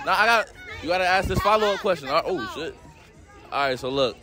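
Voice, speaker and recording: A man's voice: high voice, expressive, fast talker, sharp tone. A young man with a Cleveland accent hosting a slangy, joking street interview outdoors at a beach park, speaking into a handheld phone mic. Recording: music and crowd behind.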